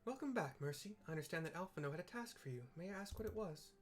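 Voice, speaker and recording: A man voicing an earnest woman leader in a fantasy game, with formal, measured, archaic phrasing. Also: high-pitched, animated, voice pushed up.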